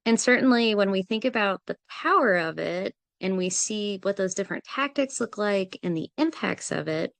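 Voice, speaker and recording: Smooth-voiced woman hosting a webinar. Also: mid-range voice, expressive, normal speed, hardly any pauses, a bit bright, no room sound. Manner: friendly and organized, with frequent "um" fillers. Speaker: webinar host, project manager. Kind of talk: presenting a business webinar.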